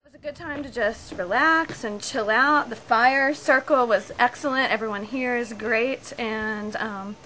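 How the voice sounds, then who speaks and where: High-voiced young woman, noisy outdoor clip. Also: unhurried, few pauses, pushed and bright. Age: young adult. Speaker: visitor giving a testimonial. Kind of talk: casual unscripted testimonial. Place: outdoors beside a brick building. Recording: clearly noisy outdoor camcorder sound.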